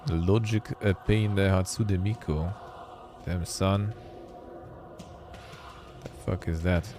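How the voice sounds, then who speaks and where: A deep-voiced man hosts a live stream in a loose, offhand way, muttering to himself and reading text aloud with dry little remarks. Soft music plays quietly behind him. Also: steady tone, takes it slowly. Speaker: streamer and show host. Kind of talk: casual live-stream commentary.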